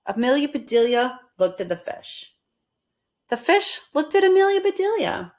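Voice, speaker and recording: A woman reading a children's storybook aloud at storytime, expressive and deliberate in her phrasing. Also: sits high. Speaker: storytime reader.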